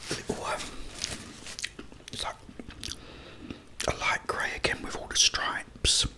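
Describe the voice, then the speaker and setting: A middle-aged man whispering personal stories for ASMR in a hushed, halting, unhurried manner. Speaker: ASMR creator.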